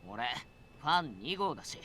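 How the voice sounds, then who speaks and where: Earnest, fast-talking young man, very animated. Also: fairly high pitch, slight background noise. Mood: encouraging. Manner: rough, casual masculine speech. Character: earnest, hot-blooded young man, loyal and easily flustered. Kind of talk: Japanese visual novel voice acting.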